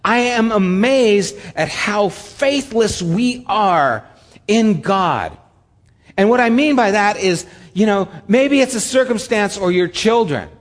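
A man's voice: sits high, lively intonation, takes it slowly. Man preaching in a reverberant church hall. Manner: conversational preaching with asides. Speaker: pastor. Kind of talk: sermon.

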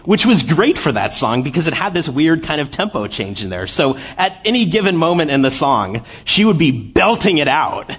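Husky young man preaching a sermon. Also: on the high side, normal speed, few pauses. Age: 20s. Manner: animated and laughing in the story, then quiet and earnest. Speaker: lay preacher. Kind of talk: church sermon.

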